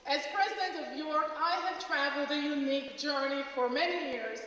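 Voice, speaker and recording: A woman's voice: sits high, a bit bright. A middle-aged woman from Jamaica, a college president, giving a stately formal welcome speech to an audience. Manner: measured, stately address. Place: large auditorium. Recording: echoey hall recording from far off.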